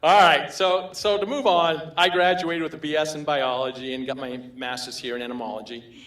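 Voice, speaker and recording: A man's voice: on the high side, steady tone, a little fast, a bit bright. An older man with a Maine accent giving a humorous award acceptance speech, telling anecdotes with chuckles. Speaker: award recipient. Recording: roomy hall echo.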